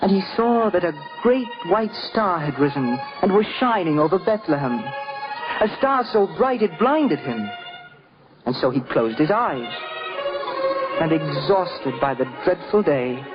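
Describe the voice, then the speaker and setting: Male narrator for vintage radio drama: solemn storyteller, high-pitched and sweeping in intonation, theatrical throughout. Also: very animated, measured pace. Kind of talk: dramatic scripted narration, old-time radio. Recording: muffled 1950s radio recording. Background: prominent music.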